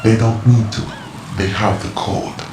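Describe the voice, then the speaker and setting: An actor playing a mysterious, cryptic male informant in a video game cutscene, speaking in a measured, lecturing way. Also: on the low side, fairly even intonation, background noise, natural voice. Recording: roomy game mix with loud music.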